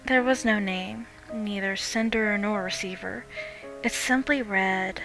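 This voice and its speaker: Casting: young female horror narrator, slightly low voice, dramatic first-person reading, clear music bed. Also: measured pace, fairly bright tone, poor audio.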